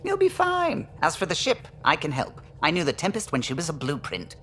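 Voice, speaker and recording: An alien starship pilot for a video game. The delivery is lively and forward, with a bright edge. He is courteous, keen and exact, over a light music bed. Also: a little high, fairly quick. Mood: eager, reassuring. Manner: crisp, polite, precise. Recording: music behind the voice.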